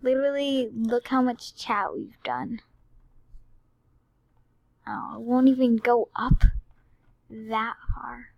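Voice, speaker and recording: A sleepy young girl chats slowly over a game recording and lets her voice sink to a near-whisper now and then. Her voice sits a little high. The sound is dry and close, with mouse clicks heard behind her. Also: steady tone, creaky, darkish tone, dry recording. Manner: drowsy, rambling, drifting into whispers. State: tired. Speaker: gaming video creator. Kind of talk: casual gameplay commentary. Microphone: computer screen recording mic.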